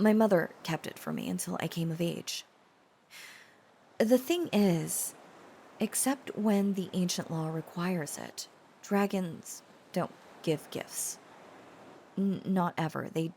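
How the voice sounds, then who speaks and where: A woman playing an earnest, devoted dragon-blooded girlfriend in an intimate romantic audio roleplay, confiding with hesitant pauses. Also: on the low side, vocal fry, slight background noise. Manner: hesitant, confiding, soft-spoken pauses and stammers.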